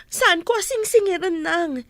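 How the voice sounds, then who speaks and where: High-voiced aggrieved daughter acted by a woman, Ilocano accent. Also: unhurried, fairly bright tone, no echo, clean studio sound, heavily acted. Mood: indignant. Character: aggrieved working daughter confronting her gambling parents. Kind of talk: radio comedy-drama acting.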